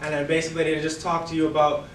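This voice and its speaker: A young man with a Bahamian accent giving a relaxed, conversational talk to a live audience, full of "you know" and "right?". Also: a little high, very fast, a little hiss or hum. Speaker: guest speaker. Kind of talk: casual presentation to a live audience. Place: event room before an audience. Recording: roomy, distant room mic.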